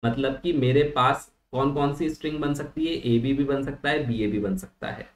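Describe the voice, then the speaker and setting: A man's voice: medium pitch, moderate pace. A young man teaching an online class in mixed with terms, patiently reassuring students and checking that they follow. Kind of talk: online lecture at a digital whiteboard. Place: small room indoors. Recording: roomy echo.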